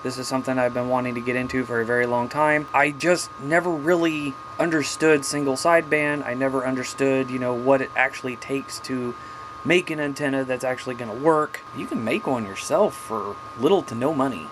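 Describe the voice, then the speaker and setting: A man's voice: mid-range voice, a little fast, background noise. A man giving a casual, unscripted hobby vlog in a plain, folksy, mildly salty way. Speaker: hobbyist video host.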